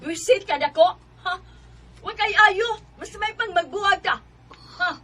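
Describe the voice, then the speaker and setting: Sharp, high-voiced weary Cebuano mother. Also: normal speed, faint music behind. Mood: angry, fed up. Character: weary, scolding mother of a poor family. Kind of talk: Filipino radio drama acting.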